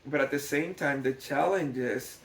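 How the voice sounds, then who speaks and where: A man's voice: mid-range voice, moderate pace, slight background noise. A man from Guatemala gives an unscripted documentary-style explanation, thoughtful and hesitant, often repeating words as he goes. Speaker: scale model builder, documentary narrator. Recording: echoey, reverberant room.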